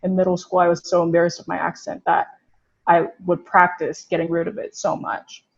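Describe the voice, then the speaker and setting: A woman's voice: lowish voice, flat delivery, a little fast, creaky, highs cut off. A young woman from Korea, a guest in a casual conversation, talking reflectively with many fillers.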